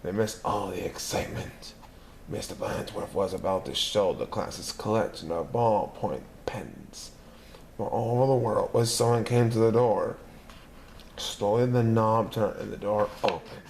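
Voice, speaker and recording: A man reading a children's picture book aloud in a lively, expressive storytelling manner. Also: lowish voice, unhurried, a little hiss or hum. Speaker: narrator.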